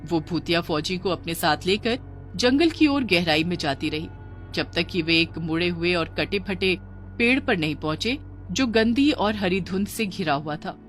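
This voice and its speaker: A woman's voice: fairly low pitch, lively intonation, normal speed, fairly bright tone, soft background music. A woman narrating a scripted children's ghost story in a dramatic storytelling manner.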